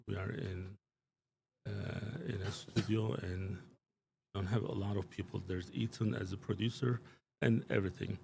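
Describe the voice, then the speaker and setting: Male studio guest: low, gravelly, emphatic, loose with fillers; slight background hiss. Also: warm tone. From Turkey. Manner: emphatic, rambling, frequent fillers and restarts. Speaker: show guest commentator. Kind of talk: live studio political talk. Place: small studio.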